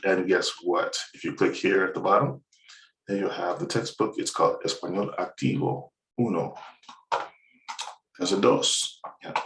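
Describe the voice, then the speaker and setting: Casting note: low, gravelly male instructor with a relaxed, rambling delivery, recorded in an echoey room. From United States. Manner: relaxed, rambling, many uhs, chuckles at own jokes. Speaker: Spanish instructor. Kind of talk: screen-recorded course walkthrough. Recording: roomy, slightly distant mic.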